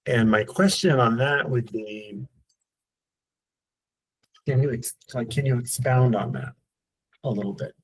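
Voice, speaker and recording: A man hosting an interview, asking questions in a casual, gently probing way. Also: medium pitch, measured pace, long pauses, breathy edge, rough recording. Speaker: interview host.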